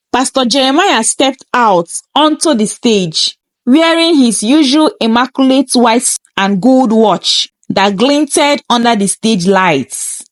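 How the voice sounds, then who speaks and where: Slow, high-voiced woman narrating a dramatic story. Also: no room sound. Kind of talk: scripted first-person story narration.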